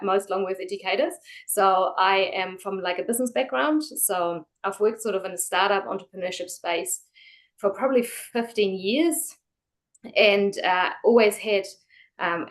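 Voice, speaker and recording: A woman talks as a guest in a relaxed interview. She is chatty and loose, with many fillers and restarts. Her voice sits at a middle pitch. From Germany. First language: German. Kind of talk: casual interview.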